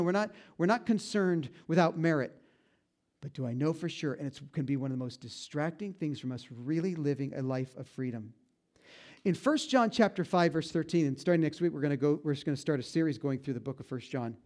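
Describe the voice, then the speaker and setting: Pastor guy preaching casual style, kinda high voice, self-deprecating jokes, gets slow and quiet on the big points. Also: animated. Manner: folksy, self-deprecating humor with frequent restarts; slows down and drops low for emphasis on key points. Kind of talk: conversational sermon.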